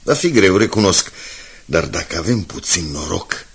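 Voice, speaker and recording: An actor playing a sober, shrewd senior newspaperman in a Romanian radio drama, explaining things in a measured way. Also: low voice, very animated, vocal fry, a little hiss or hum, full character voice. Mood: grave, reasoning.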